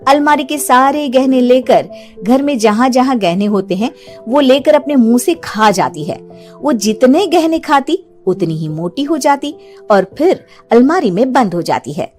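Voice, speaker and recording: A woman storyteller giving an expressive, scripted narration of a dramatic tale. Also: high-pitched, unhurried, soft background music.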